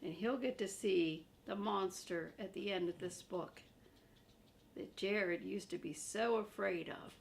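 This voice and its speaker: An older woman reading a children's book aloud, chatting to young listeners in simple, gentle phrases. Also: mid-range voice, measured pace, gravelly, slight background noise. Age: older, a grandmother.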